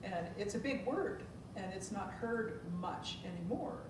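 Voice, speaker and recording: A woman's voice: medium pitch, animated, unhurried. A woman speaking as an author on a panel, chatting informally to an audience. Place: public room at a library event. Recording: echoey noisy room, far from mic.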